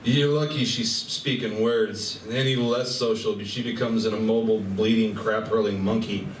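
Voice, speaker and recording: A man's voice: on the low side, steady tone, normal speed, natural voice. Man acting a brash, swaggering party animal, echoey room. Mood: boastful, tipsy. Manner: sarcastic, swaggering. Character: brash, hard-drinking, teasing male space-crew party animal. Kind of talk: live amateur radio play acting. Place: large indoor room at a convention. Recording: echoey, noisy convention room from far off.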